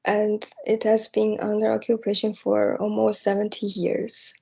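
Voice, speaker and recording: Slow, hesitant young woman with creaky voice. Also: mid-range voice, monotone, mellow. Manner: hesitant, halting, searching for words. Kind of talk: unscripted spoken reflection on personal hopes.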